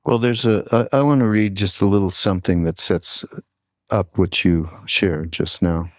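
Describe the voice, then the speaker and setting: A man hosting a podcast in a casual, conversational way, with an informal, rambling and halting delivery. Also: sits low, fairly even intonation, dry recording, clean studio sound. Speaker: podcast host.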